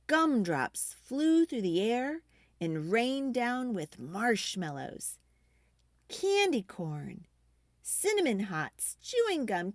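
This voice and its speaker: A woman reading a children's book aloud expressively, with dramatic emphasis and drawn-out key words. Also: medium pitch, no echo, studio quality. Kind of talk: expressive storytime read-aloud.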